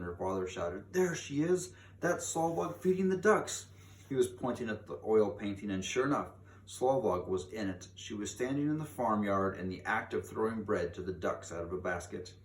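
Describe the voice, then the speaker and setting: Male storybook reader, lowish voice, expressive delivery, recorded in a roomy space at a distance. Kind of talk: expressive read-aloud of a children's story. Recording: echoey room, distant mic, faint noise.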